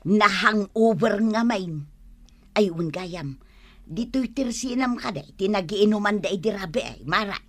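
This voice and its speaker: A woman's voice: medium pitch, animated, a little slow, a bit bright, no echo. A woman with an Ilocano Filipino accent playing a nagging, plaintive village wife in a radio comedy drama, exclamatory and scolding. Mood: surprised, complaining.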